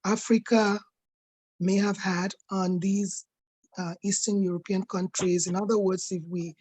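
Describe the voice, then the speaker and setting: A woman's voice: medium pitch, level tone, measured pace, darkish tone, slightly rough. A woman asking a question in an online seminar, rephrasing it as she goes. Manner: inquiring, rephrasing her question. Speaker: audience member asking a question.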